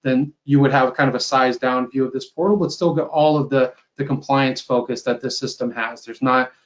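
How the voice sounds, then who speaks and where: A man with a mid-pitched voice presents a software demo on a video call. He explains each point in a conversational, informal way, often tagging statements with a quick check-in. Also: fairly even intonation, muffled recording. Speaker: software company sales executive presenting a webinar.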